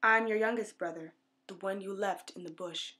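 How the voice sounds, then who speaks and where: A woman's voice: mid-range voice, normal speed, sharp tone, pitched below the performer's own voice, close to an everyday voice. A woman voicing an orphaned young man who becomes a generous chief, read earnestly in a storybook.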